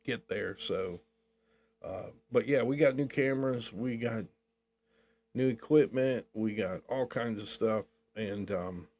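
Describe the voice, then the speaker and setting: A man's voice: on the low side, slow pace, darkish tone. An older man co-hosting a podcast, chatting casually in a rambling, jokey way and laughing often. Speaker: podcast co-host. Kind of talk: casual chat.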